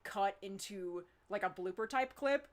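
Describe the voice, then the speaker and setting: A woman's voice: medium pitch, measured pace, crowd noise. A woman in a casual, unscripted group chat, rambling as she explains things and often saying "like". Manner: rambling, frequent "like". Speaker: show creator on a cast Q&A.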